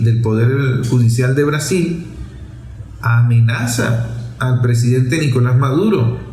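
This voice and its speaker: A man's voice: mid-range voice, a little mellow. A man giving opinionated, off-the-cuff political commentary, emphatic, repeating and stretching key words to press his point. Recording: roomy, echoing voice recording.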